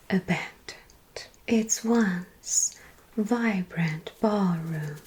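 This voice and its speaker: A woman voicing a teasing female vampire who tells scary stories in a soft, whispered ASMR roleplay. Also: mid-range voice.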